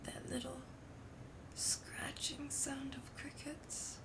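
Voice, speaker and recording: Slow, breathy French roommate, acted by a woman. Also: high-pitched, level tone, low-quality recording. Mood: dreamy, hushed. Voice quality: breathy, often whispering. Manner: languid, teasing, dramatic. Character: playful, dreamy, relaxed French female roommate, teasingly dramatic. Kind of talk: intimate ASMR roleplay, rambling. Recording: outdoor summer ambience noise behind voice. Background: birdsong, crickets, wind chimes.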